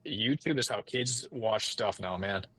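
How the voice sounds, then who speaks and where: A man's voice: fairly low pitch, measured pace, fairly bright tone, breathy edge. Casually rambling man, podcast guest. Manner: informal, rambling, repeats and restarts phrases. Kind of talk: casual conversational interview talk.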